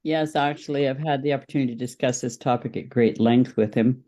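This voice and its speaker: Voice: mid-range voice, fairly quick, mellow. A podcast guest, speaking as a political campaign representative and explaining positions in an interview.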